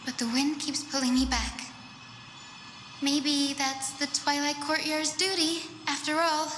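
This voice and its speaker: High-voiced, gentle, wistful girl. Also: fairly even intonation, unhurried. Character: gentle, wistful young woman, tender-hearted. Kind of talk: dramatic game voice acting. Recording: echoey hall, faint ambient noise.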